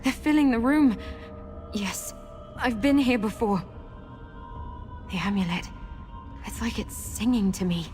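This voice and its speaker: An actress playing an earnest young woman in a video game who seeks her lost memories, speaking in a measured, sincere way. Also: a little high, darkish tone, soft background music, close to an everyday voice. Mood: overwhelmed, awed. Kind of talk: video game character acting.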